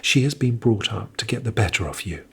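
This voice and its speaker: A man voicing a stern, cold-hearted gentry mother in a period audio drama, speaking in a hushed, deliberate way. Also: lowish voice, animated, creaky, slightly rough, heavily acted. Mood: cold counsel.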